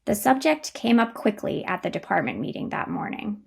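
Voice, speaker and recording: A woman's voice: medium pitch, sing-song, normal speed, creaky, husky. A woman reading scripted story narration as the narrator of a dramatization.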